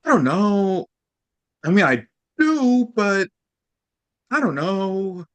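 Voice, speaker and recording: A man's voice: high voice, very animated, a bit bright, heavily acted. A man playing a sheepish, smitten young man in a sitcom table read, speaking hesitantly. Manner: hesitant, halting delivery.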